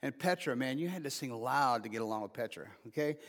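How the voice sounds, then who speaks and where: A man's voice: medium pitch, animated, fast, fairly bright tone. A man in his fifties preaching an informal sermon as pastor, mixing joking asides with emphatic calls to the congregation. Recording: preached in a reverberant hall.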